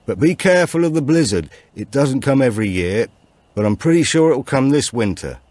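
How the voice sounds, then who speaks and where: A man voicing a grave old storyteller who gives warnings slowly and deliberately in an audiobook. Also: mid-range voice, big pitch swings, darkish tone, dry recording, pitched above the performer's own voice. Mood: solemn warning.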